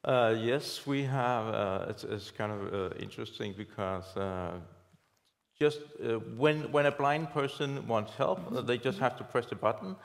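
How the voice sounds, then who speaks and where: A man's voice: medium pitch, animated, measured pace, vocal fry, long reverb tail. A man answering on a conference panel as an app developer, hesitant, with many fillers and small laughs. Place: conference hall stage.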